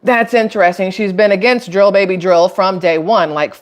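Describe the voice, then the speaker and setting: A woman's voice: medium pitch, fairly even intonation, normal speed. Chatty American woman live streaming. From Atlanta, United States. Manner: chatty, rambling, emphatic asides. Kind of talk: casual political commentary to viewers.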